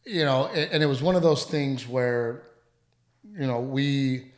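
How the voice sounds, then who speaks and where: Man with a dry cough, echoey room. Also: mid-range voice. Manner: rambling, halting, full of restarts. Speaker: podcast co-host, comedian. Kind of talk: casual chat. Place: home podcast room.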